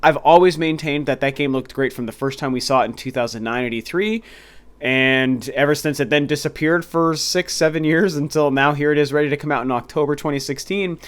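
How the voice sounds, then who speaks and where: A man's voice: fairly high pitch, brisk, clean tone. A young man hosting a video game review channel, talking casually and enthusiastically with frequent restarts while a little stuffy and nasal from a cold. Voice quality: slightly nasal. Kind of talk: casual solo talk to camera.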